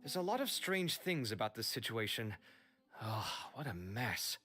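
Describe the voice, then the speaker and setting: A man playing a fretful, expressive young scholar in a fantasy video game, with theatrical exasperation. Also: medium pitch, normal speed, soft background music. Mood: pensive, troubled.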